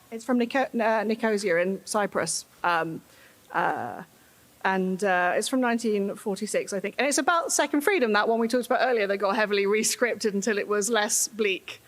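A woman giving a conference talk in a wry, humorous way, laughing often. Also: mid-range voice.

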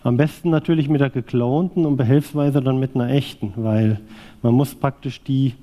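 Man, 40s, from southwest Thuringia, very dark mellow voice, technical conference talk, loose delivery with many fillers. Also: medium pitch, fairly even intonation, normal speed. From southwest Thuringia, East Germany. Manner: loose and thinking aloud, many fillers and restarts. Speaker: conference speaker presenting a vintage graphics device.

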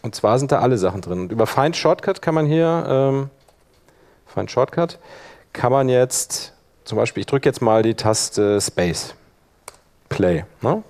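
A man giving an informal live software tutorial as workshop instructor, thinking aloud while he demonstrates. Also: mid-range voice, expressive, measured pace.

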